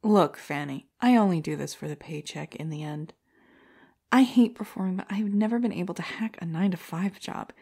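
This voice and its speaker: A woman's voice: lowish voice, normal speed, creaky, warm tone, breathy edge. A woman playing a bitter, cynical veteran comedian in an audio drama, dismissive and sardonic. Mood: jaded, scornful.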